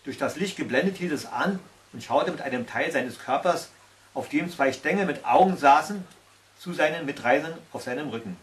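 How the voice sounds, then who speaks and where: An older man tells a fairy tale, reading it aloud. His voice sits a little high for a man, and he is recorded in a small room. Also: animated, moderate pace. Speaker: storyteller. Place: small indoor room by a tiled stove.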